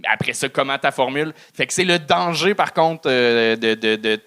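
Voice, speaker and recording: A man's voice: mid-range voice, moderate pace, bright, forceful tone, smooth. A man with a Québécois accent hosting, giving candid, stammering feedback to a comedian. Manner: candid, fumbling critique with stammered repeats. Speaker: show host and judge. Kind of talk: casual feedback.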